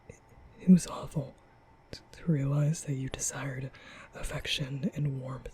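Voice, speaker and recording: A man playing a nervous, gentle young vampire in an intimate ASMR roleplay, speaking hesitantly in a breathy half-whisper. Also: on the high side, a little hiss or hum, close to an everyday voice. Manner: hesitant, stammering, slow.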